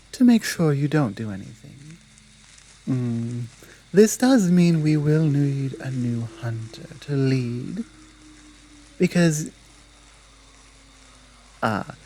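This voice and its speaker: A woman playing a stern, dominant female werewolf pack leader in an ASMR roleplay, speaking in a measured, commanding manner with deliberate pauses. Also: low voice, dark tone, slight background noise, exaggerated voice, rough recording.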